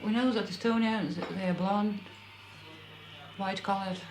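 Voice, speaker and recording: A woman doctor giving a documentary interview, speaking slowly and haltingly in a second language. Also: mid-range voice, fairly even intonation, over music. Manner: slow, halting, searching for words in a second language. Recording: noisy, roomy old documentary film sound.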